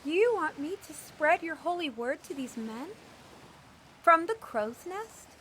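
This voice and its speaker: A woman's voice: sits high, animated, slow pace, fairly bright tone, a little hiss or hum, full character voice. A woman voicing a devout, eager young priest girl in a fantasy audio drama, effusive, flustered and reverent. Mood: puzzled, eager. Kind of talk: scripted fantasy audio roleplay.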